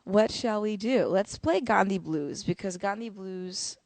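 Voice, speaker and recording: A young adult woman speaking casually to livestream viewers from home between songs, relaxed and chatty with fillers. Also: mid-range voice, measured pace, no room sound. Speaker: musician performing a livestream show. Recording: loud music over the voice. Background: music.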